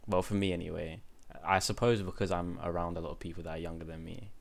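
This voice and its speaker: A man's voice: deep voice, flat delivery, fast, vocal fry. A man in his twenties hosting a podcast, chatting casually with lots of fillers and restarts. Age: 20s. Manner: rambling, many fillers and restarts. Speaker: podcast host. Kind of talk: casual chat.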